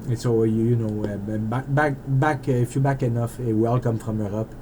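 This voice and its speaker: A man with a French Canadian accent talks through his game project in a loose, rambling vlog, breaking off and restarting his sentences. His voice is mellow and unforced. A faint hum of background noise sits under the recording. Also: mid-range voice, steady tone, moderate pace. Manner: rambling and self-interrupting, with frequent restarts. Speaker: indie game developer. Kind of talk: rambling casual monologue.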